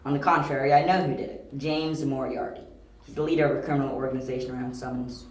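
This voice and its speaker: A confident young amateur detective, played by a man, lays out his reasoning in a precise, matter-of-fact way. His voice sits at an average pitch in a roomy home-recorded audio drama. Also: steady tone, fast, close to an everyday voice. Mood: assured.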